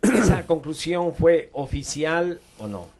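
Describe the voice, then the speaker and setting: A man's voice: fairly high pitch, lively intonation, slow, a little mellow. Man asking interview questions.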